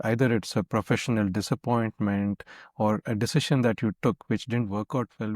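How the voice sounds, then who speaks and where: A man's voice: fairly low pitch, darkish tone, no room sound, studio quality. A man, asking courteous, deferential interview questions.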